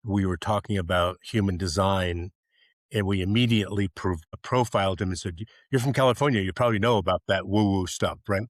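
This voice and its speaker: Chatty podcast host, lowish voice. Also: male voice, fairly quick, dry recording. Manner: chatty, rambling, with false starts. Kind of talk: casual interview hosting.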